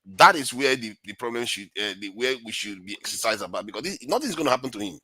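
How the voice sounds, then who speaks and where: A man's voice: lowish voice, expressive, quick pace, sharp tone, slightly rough, no room sound. A man debating as a panelist, explaining his point and trying to mediate.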